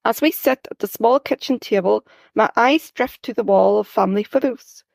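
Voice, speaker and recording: Woman author reads own story aloud, calm, reflective, dry close sound. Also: fairly high pitch, moderate pace, creaky, dry recording. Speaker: author reading her story. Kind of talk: first-person story reading.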